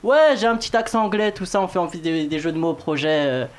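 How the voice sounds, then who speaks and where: Male host, very quick delivery, football panel show, informal, teasing banter. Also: a little high, animated.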